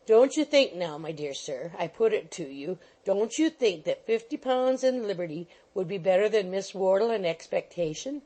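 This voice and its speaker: A woman's voice: mid-range voice, animated, normal speed, hardly any pauses, mellow, lightly acted, low-quality recording. A woman voicing a fussy, bustling little male attorney who coaxes and keeps saying "my dear sir". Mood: wheedling. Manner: coaxing, repeats "my dear sir".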